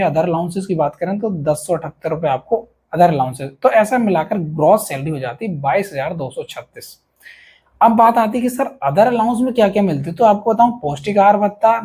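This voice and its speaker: A man's voice: high-pitched, dark tone, husky. A man with an Indian accent presenting an informal explainer to viewers in an instructive way, often checking in with short tags. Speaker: video presenter explaining police pay.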